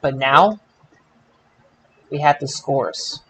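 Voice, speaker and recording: A man hosting a casual podcast results show, announcing in a drawn-out way with repeated phrases. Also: fairly high pitch, fairly bright tone, slightly rough.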